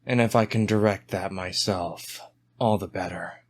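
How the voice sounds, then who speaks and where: A man voicing a cool, self-assured male operative in a cyberpunk tabletop game, calm and understated. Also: sits low, unhurried, slight background noise. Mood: calm, certain.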